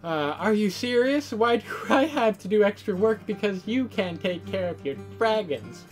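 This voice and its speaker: A woman's voice: medium pitch, animated, normal speed, voice pushed up, close to an everyday voice. A woman acts out an indignant, spirited kid sister in a visual novel. She is dramatic and playful in her protests, over light background music. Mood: indignant, boastful. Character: spirited, indignant younger sister who tends dragons.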